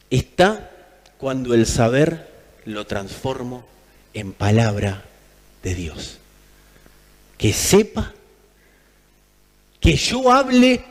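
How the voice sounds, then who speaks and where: A man with an Argentine accent preaching a sermon in an emphatic manner, repeating phrases for effect. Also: high voice. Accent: Argentine Spanish. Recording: long hall reverb.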